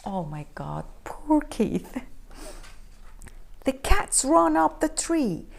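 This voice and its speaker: A woman reading a picture book aloud to children in an expressive, animated storytelling style, inviting them to join in. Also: high voice, extremely slow, creaky. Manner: expressive, asks the listening children questions.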